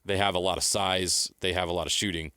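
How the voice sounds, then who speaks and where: A man's voice: low-pitched, level tone, fast talker, bright, forceful tone, clean tone, no room sound, clean studio sound. An American man hosting sports talk, giving opinionated predictions in short, declarative sentences. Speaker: sports talk show host.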